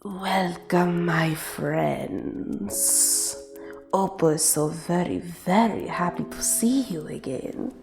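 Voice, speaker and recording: A woman voicing a timid, towering lizard-like alien who speaks of itself in the third person, trailing into soft whispers. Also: lowish voice, takes it slowly, vocal fry, soft background music. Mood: welcoming. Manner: refers to self in third person. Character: tall lizard-like alien club owner, timid.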